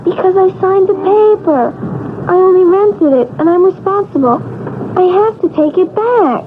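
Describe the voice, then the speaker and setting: A woman's voice: very high-pitched, lively intonation, normal speed. An actress playing an earnest, innocent little girl in an old-time radio drama, artless and matter-of-fact. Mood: apologetic. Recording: tinny radio recording, loud noise.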